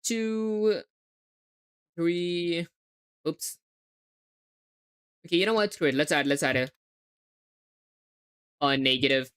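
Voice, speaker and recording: A woman's voice: lowish voice, takes it slowly, long pauses, fairly bright tone, clean tone. A young woman with a hard-to-place hybrid accent, live coding and thinking aloud, spelling words letter by letter and chatting with viewers. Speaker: livestreaming coder.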